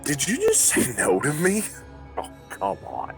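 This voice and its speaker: A man playing a creepy online predator on a call, coaxing and halting, with a domineering, menacing manner. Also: on the high side, huge pitch swings, gravelly, fairly bright tone, soft background music, exaggerated voice. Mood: angry, threatening. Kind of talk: voice call conversation.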